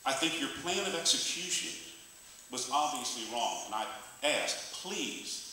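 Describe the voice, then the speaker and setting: Man in his sixties, blunt and emphatic, bright edgy voice, echoey meeting room, faint hiss. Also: mid-range voice, lively intonation, a little slow, far from the mic. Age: 60s. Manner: blunt, emphatic, lectures officials. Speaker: resident speaking at public comment. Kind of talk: public comment at a meeting. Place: county meeting room, roomy. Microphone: hand-held meeting mic.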